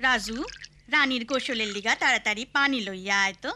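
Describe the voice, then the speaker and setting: A woman's voice: on the high side, a little fast, music in the background, natural voice. A woman playing a busy, practical village mother with a Bangladeshi accent in a children's cartoon. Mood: brisk. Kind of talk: children's educational cartoon acting.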